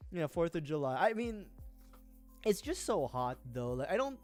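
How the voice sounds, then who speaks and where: A man's voice: a little high, big pitch swings, normal speed, darkish tone, soft background music. A young man from the Philippines, chatting casually and rambling on a livestream, laughing often. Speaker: vtuber streamer. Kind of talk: casual chat while building a model kit.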